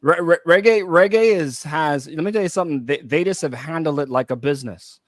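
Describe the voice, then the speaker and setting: Male radio co-host. High-pitched, casual, halting as he restates points, in a dry studio. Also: dry recording. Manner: halting, repeats and rephrases his points. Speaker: radio talk show co-host.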